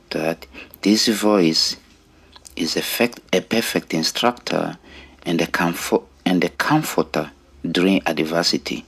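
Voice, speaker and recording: A middle-aged man with a Rwandan accent giving an earnest personal testimony in deliberate, short phrases with pauses. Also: deep voice. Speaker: storyteller sharing own life story.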